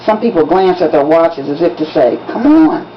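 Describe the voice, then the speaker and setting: A woman, an author reading from a novel in an expressive, dramatic audiobook style with emphatic storytelling. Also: deep voice, a little fast. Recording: dull lo-fi recording with background noise.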